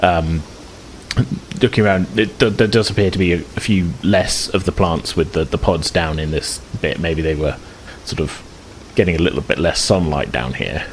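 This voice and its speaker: A man's voice: deep voice, moderate pace, a little hiss or hum, low-quality recording. A man acting as game master, describing scenes in a chatty, hesitant way. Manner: chatty, hesitant, many restarts.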